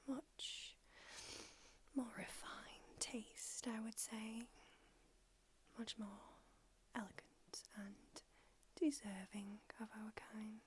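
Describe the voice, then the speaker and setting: A woman whispering in an ASMR roleplay as an ancient, aloof female vampire doctor, unhurried and drily haughty. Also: a little high. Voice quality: whispered throughout. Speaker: ASMR creator.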